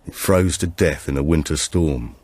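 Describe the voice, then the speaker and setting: A man's voice: rumbling low, moderate pace, a little mellow, dry recording. A man voicing an elderly, reflective great-uncle in an audiobook thriller. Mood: reflective, grave.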